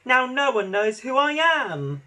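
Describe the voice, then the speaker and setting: A vain storybook engine with a wounded ego, voiced by a man. He moans in an affronted, high-pitched voice that swoops about. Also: big pitch swings, a little slow, bright, forceful tone, exaggerated voice. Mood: moaning.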